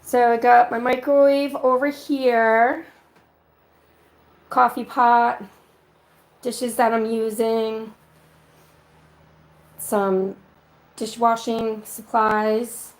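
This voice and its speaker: Woman vlogger, raspy from a cold, slow and unhurried, sits a bit high, casual livestream chatter. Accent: New England. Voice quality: hesitant, many ums and uhs. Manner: slow, walking through rooms listing items. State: raspy from being sick. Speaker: vlog host on a livestream. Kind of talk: casual livestream chat, reading comments. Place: apartment being packed up. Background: road noise.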